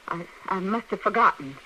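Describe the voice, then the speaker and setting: A faintly noisy vintage radio recording of a woman's tense, bright voice. She is a frightened frontier wife, evasive and halting, repeating herself nervously in western melodrama. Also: medium pitch.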